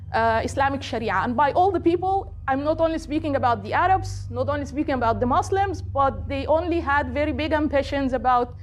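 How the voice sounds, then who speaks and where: A middle-aged woman with an Egyptian accent, a native Arabic speaker, giving an earnest, deliberate political talk off the cuff. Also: sits high, a little hiss or hum. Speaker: political activist and guest speaker.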